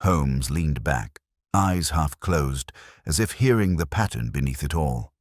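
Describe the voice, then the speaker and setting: Super deep guy reading a detective story, solemn but expressive, measured delivery. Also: no echo, clean studio sound. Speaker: audiobook narrator, telling the story as Watson. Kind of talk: scripted dramatic mystery narration.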